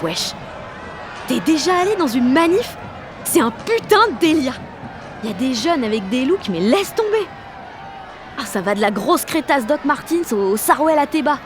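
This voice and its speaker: A woman's voice: high voice, noisy background. An actress playing a slangy, self-conscious sixteen-year-old girl in a French audio drama, gushing and mocking herself as she narrates. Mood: excited. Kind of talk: audio drama, slangy first-person inner narration and dialogue.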